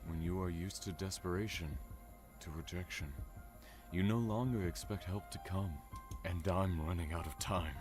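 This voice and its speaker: A man playing a composed, defiant kidnapper in a visual novel, speaking formally and wryly in a measured way. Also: deep voice, fairly even intonation, close to an everyday voice. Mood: grave, apologetic. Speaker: game character voice actor. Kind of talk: dramatic visual novel voice acting. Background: music.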